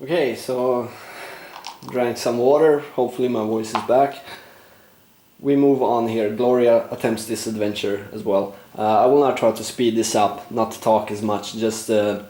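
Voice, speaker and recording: Man, mid-pitch, casual board game commentator with a slightly hoarse voice; roomy echo. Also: steady tone, moderate pace, mellow. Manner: explains rules while thinking aloud, frequent "uh" fillers. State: losing his voice, clearing throat. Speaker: board game player narrating a play-through.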